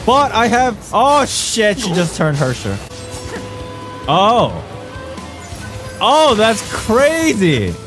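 A man's voice: high-pitched, huge pitch swings, drawn-out words, long pauses. A young man giving casual live stream commentary and speculation as a game streamer, recorded on a studio mic in a room at home. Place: small furnished room at home. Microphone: large studio mic close by. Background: faint game music.

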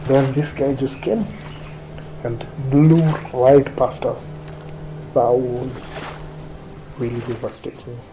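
A man's voice: medium pitch, fairly even intonation, slow, pauses often, warm tone, slight background noise, low-quality recording. A man in his thirties with a Kenyan accent, telling his own story conversationally in a seated interview indoors. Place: small quiet indoor room, seated. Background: faint music.

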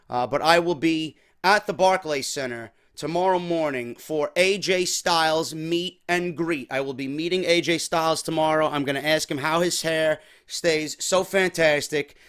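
A man's voice: on the high side, clean tone. Chatty young man, slightly worn voice. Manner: energetic, chatty, peppers speech with "man". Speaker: podcast host. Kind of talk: casual wrestling talk. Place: small home room. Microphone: desk mic on boom arm.

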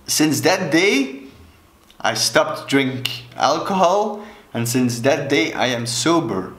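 Slow-talking Belgian man, Dutch accent. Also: a little high, animated, fairly bright tone. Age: 30s. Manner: slow, deliberate, gestures with both hands. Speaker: alcohol coach. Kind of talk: earnest talk to camera. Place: small room indoors, plain wall. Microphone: camera mic, head and shoulders. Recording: echoey room.

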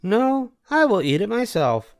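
A busy, determined little female hen from a children's storybook, acted by an older man. The voice is lifted in pitch, earnest and deliberate, with lively swings. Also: faint music behind, exaggerated voice. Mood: resolute.

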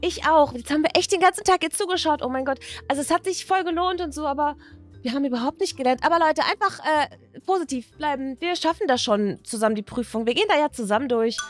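Woman playing an excitable high-voiced teenage schoolgirl. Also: quick pace, faint music behind, close to an everyday voice. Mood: flustered. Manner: gushy, slangy, dramatic. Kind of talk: acted children's toy-video story.

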